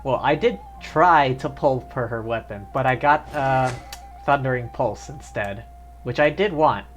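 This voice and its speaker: A man's voice: mid-range voice, unhurried. A man chatting casually as he gives live commentary on a video game stream. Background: game music.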